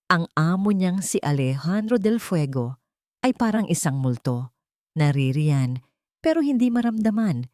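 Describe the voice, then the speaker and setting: A woman narrates an audio drama in a fairly low voice, close and dry. Her delivery is solemn and emotive, with deliberate pauses for dramatic weight. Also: darkish tone, no room sound. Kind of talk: dramatic scripted storytelling narration.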